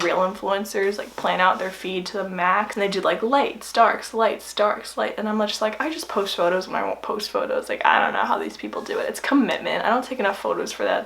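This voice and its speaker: A woman's voice: mid-range voice, a bit bright. A seventeen-year-old young woman casually talking through a step-by-step tutorial in a chatty, informal way, as host. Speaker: host of a photo editing tutorial.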